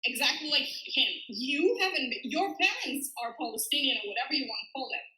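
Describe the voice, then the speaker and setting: A woman's voice: high-pitched, lively intonation, fairly quick, pushed and bright. A young woman with an Israeli accent, a guest telling stories in casual chat, laughing often and saying "like" a lot. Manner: chatty, laughs often, leans on "like". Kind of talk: casual storytelling. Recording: echoey room.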